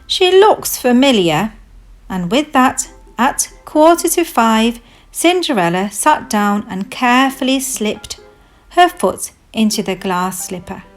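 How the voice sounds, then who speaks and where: A woman narrating a children's storybook in a measured, expressive read-aloud manner. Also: a little high. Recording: music behind the voice. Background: music.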